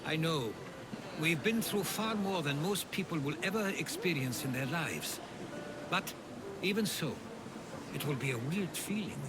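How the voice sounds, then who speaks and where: Wistful seafaring companion, highish voice, very expressive, sincere, game drama, loud music. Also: male voice, a little slow. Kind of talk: video game cutscene acting.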